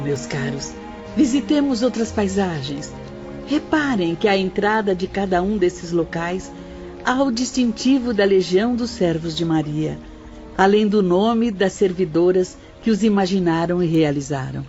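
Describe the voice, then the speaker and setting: An actress playing a kindly, serene female spirit guide who teaches gently and formally in an audio drama. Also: mid-range voice, animated, measured pace, lightly acted. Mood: gentle, guiding. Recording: dull audio with faint music.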